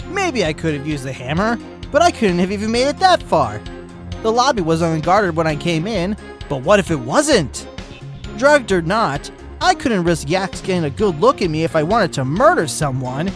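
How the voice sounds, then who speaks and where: A man voicing Flash, a hyper male sloth witness who talks like a peppy fitness coach. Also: a little high. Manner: loud, peppy coach-like shouting. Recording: music bed behind voice.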